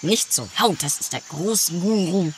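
A woman's voice: fairly low pitch, expressive, measured pace. A woman playing an earnest, devout and obliging companion in an amateur comedy radio play, explaining things patiently. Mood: reverent. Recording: faintly noisy amateur 1980s recording.

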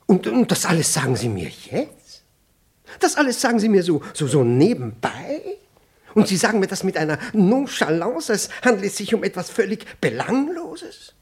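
An actor playing a pompous, flustered elderly privy councillor in a German radio play from the early sixties, stammering, repeating himself and pedantically indignant. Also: male voice, high-pitched, sing-song, normal speed, slightly rough, full character voice. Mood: indignant, alarmed. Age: older.

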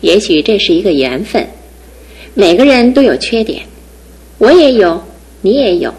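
Husky-voiced young woman student. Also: medium pitch, expressive, darkish tone. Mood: tender earnest. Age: young adult. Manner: teasing and brisk, then tender. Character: young female student, independent, teasing, sensible. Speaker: radio drama actress. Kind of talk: 1960s-70s studio radio drama acting.

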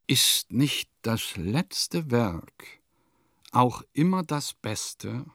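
A vain, eccentric composer in a radio play, speaking very slowly in a gravelly voice. He muses with theatrical pomp, recorded close and dry. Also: mid-range voice, sing-song, a bit bright, dry recording, exaggerated voice. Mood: grandiose, self-satisfied. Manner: pompous, musing, theatrical. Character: vain, eccentric male composer musing in his diary. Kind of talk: German radio play monologue.

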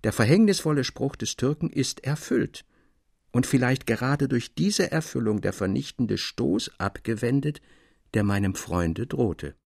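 Casting: a formal, expressive storyteller for audio literature, mid-pitched, measured delivery, dry sound. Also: male voice, gravelly, husky, no echo, heavily acted. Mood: grave. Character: male storyteller Theodor, literary friend reading his tales, defending fragments. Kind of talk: literary audio drama narration.

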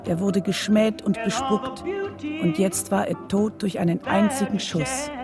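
A woman's voice: medium pitch, lively intonation, measured pace, over music, lightly acted. A woman narrating a scripted radio drama in a sober, factual storytelling manner. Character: sober narrator recounting a historic killing.

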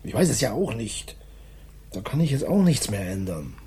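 A man playing an exasperated, absent-minded middle-aged father of two in a German radio play, with a husky voice. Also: medium pitch, sing-song, normal speed, a crowd in the background. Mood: regretful, subdued.

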